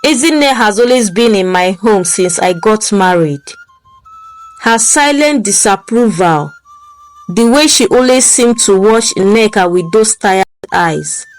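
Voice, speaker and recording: A woman narrating a folktale in a slow, deliberate reading voice. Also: medium pitch, poor audio. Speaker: storyteller. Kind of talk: scripted story narration.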